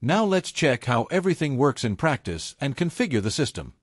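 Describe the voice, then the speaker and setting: Man narrating a tutorial, lively pitch, dry sound. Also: fairly quick, breathy edge, dry recording. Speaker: tutorial presenter. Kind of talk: scripted step-by-step tutorial narration.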